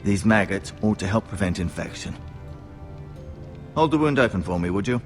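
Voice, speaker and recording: Guy playing a grave old castle maester type, low voice, measured n formal, fantasy game, music behind him. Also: animated, lightly acted. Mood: calm, attentive. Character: grave, dry older male castle healer, fantasy drama. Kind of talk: dramatic video game acting.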